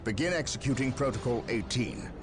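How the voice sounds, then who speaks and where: An actor playing a stern space marine commander in a video game, with formal, authoritative military diction. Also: male voice, mid-range voice, expressive, brisk, close to an everyday voice. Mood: commanding. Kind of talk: video game cutscene narration and dialogue. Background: game soundtrack music.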